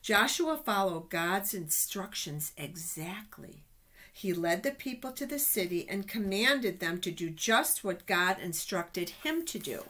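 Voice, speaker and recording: Middle-aged woman, children's Bible storyteller, unhurried pace, expressive emphasis. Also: medium pitch. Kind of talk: reading Bible stories aloud to children. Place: small office indoors. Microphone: webcam at desk distance.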